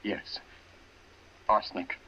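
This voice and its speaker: A man's voice: slow, loud music behind the voice, lightly acted. An actor playing an anxious, insistent husband confiding his suspicions in 1940s radio drama style. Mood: troubled. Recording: muffled, hissy vintage radio broadcast.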